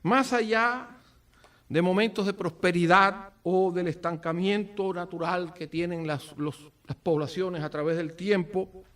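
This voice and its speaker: Solemn Cuban orator, higher-pitched man, distant mic. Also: steady tone, a bit bright. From Cuba. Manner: solemn, rhetorical, deliberate phrasing with dramatic pauses. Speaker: speaker at a book presentation. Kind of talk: formal commemorative speech. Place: event at an office venue. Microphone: far from the speaker. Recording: distant microphone.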